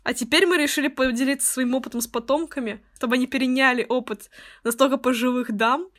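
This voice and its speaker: A young woman in her twenties hosts a podcast with her friends, with a fairly high voice. She talks quickly and playfully and slips into cheerful exaggeration. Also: steady tone, fairly bright tone. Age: 20s. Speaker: podcast co-host. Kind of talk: casual podcast chat.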